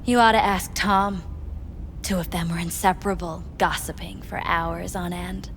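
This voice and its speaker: A woman's voice: on the low side, steady tone, normal speed, gravelly, a bit bright, long reverb tail, a little hiss or hum. A woman playing a wary, indignant club worker in a video game, curt and evasive. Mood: reluctant, wary.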